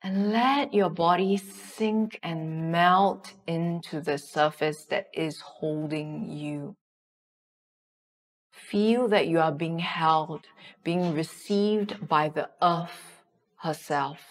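Female meditation guide, deep-pitched and very slow, soothing delivery with spacious pauses. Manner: soothing, unhurried, with long pauses. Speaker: yoga nidra guide.

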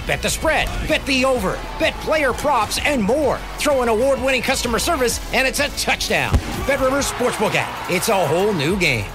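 A man reading a punchy sports commercial as an announcer. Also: extremely high pitch. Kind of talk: hype sports ad read.

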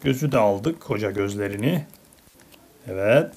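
A woman's voice: rumbling low, lively intonation, normal speed, very noisy. A woman narrating a casual toy unboxing for children, as a parent chatting with a child indoors at a table.

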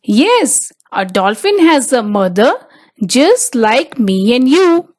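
A woman reading a children's picture book aloud as narrator, with simple, deliberate phrasing for small children. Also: a little high, big pitch swings, a little mellow. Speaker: storybook narrator.

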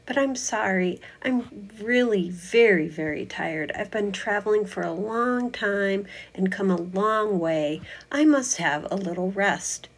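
A woman voicing a strange, weary traveling animal in a storybook, gentle, polite and homesick, speaking hesitantly and earnestly. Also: mid-range voice, animated, unhurried, few pauses. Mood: wistful, tired. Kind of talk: storybook read-aloud character voice.

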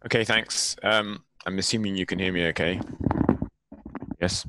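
A man's voice: low-pitched, steady tone, normal speed, heavy background noise. A man examining a doctoral thesis over a video call, polite and thoughtful, asking long rambling questions with many fillers. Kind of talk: academic questioning.

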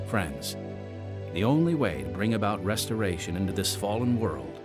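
Animated male radio announcer over music. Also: mid-range voice. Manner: earnest, emphatic, rhetorical. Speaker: announcer and narrator. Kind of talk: scripted radio exhortation and announcements.

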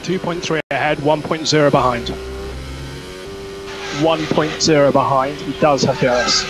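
A man's voice: fairly high pitch, fairly even intonation, normal speed, over music. A man working as a race engineer, giving terse, clipped technical instructions over team radio. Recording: noisy motorsport team radio.

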